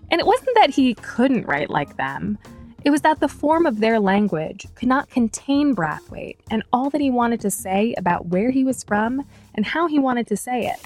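A woman working as an interviewer and host, moving between conversational questions and scripted documentary narration. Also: fairly high pitch.

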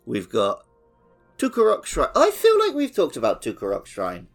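A man's voice: mid-range voice, wildly swooping pitch, normal speed, faint music behind. A man co-hosting a casual video game podcast, chatting with his partner. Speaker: podcast co-host.

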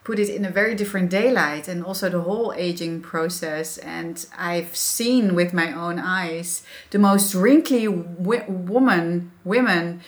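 A middle-aged Dutch woman with a Dutch accent, a podcast guest talking reflectively with halting restarts. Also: lowish voice. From Netherlands. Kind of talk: casual interview chat.

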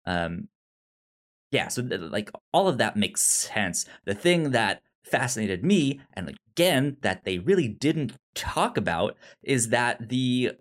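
A man with a medium-pitched voice discusses a film as a podcast co-host. He speaks casually and haltingly, often repeating a word or syllable while he gathers the thought. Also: big pitch swings. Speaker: podcast co-host reviewing films.

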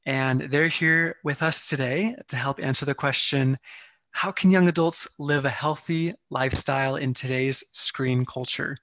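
A man's voice: medium pitch, a little slow, pushed and bright, dry recording. A man co-hosting a podcast, reading announcements and introducing guests in an upbeat, polite way. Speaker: podcast co-host.

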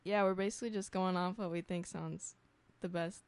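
A woman's voice: on the low side, steady tone, normal speed, smooth. A young woman musician being interviewed on the radio, speaking hesitantly with many fillers. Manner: hesitant, many ums and likes. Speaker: guest musician. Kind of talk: casual interview. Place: radio studio.

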